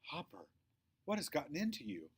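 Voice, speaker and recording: A man's voice: a little high, huge pitch swings, a little fast, husky, pitched above the performer's own voice, full character voice. Stern, exasperated mom, voiced by a man. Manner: firm, admonishing. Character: stern, exasperated mother scolding her young son.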